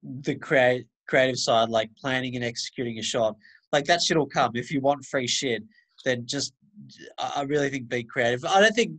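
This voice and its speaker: Thirties host, average pitch, laid-back, agreeable, casual swearing. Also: male voice, normal speed, fairly bright tone. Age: 30s. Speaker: podcast host. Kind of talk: casual podcast chat.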